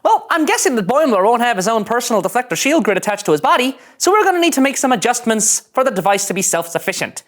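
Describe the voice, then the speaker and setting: Woman playing an animated, eager starship engineer. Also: a little high, fast talker, hardly any pauses. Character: eager, guileless young starship engineer absorbed in gadgets. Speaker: reader at a table read.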